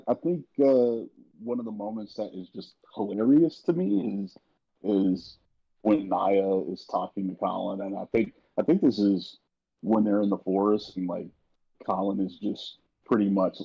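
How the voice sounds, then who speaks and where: A man in a casual panel chat, talking haltingly with repeated and restarted phrases, over a slightly dull lo-fi connection. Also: fairly low pitch, vocal fry, slightly rough. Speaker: guest on an audio drama after-show.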